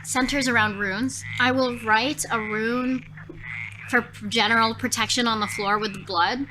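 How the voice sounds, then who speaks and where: A woman's voice: a little high, steady tone, a little slow, noisy background. A woman improvising horror story narration as a player in a tabletop game, thinking aloud expressively. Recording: music behind the voice.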